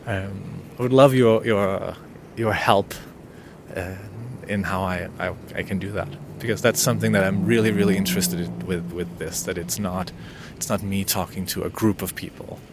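A man's voice: deep voice, fairly even intonation, moderate pace, background noise. A man whose first language is Danish hosts an unscripted solo podcast, talking in a hesitant, intimate way with many restarts. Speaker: podcast host.

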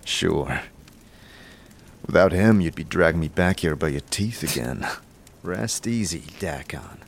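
A man's voice: deep voice, lively intonation, unhurried, gravelly, full character voice. A man playing a brooding, determined wanderer in an audio drama, terse and earnest. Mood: wary, gruff. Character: brooding, determined male wanderer seeking power.

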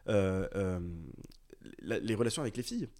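A man's voice: on the low side, animated, normal speed, a little mellow. A man in his twenties from the south of France, co-hosting a podcast in a casual, slangy, rambling conversation. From south of France (Marseille area). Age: 20s. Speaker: podcast co-host.

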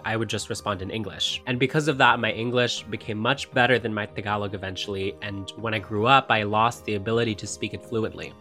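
A young man from the Philippines hosting a podcast in a lively, chatty, upbeat way. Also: fairly low pitch. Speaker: podcast host. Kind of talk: casual podcast chat.

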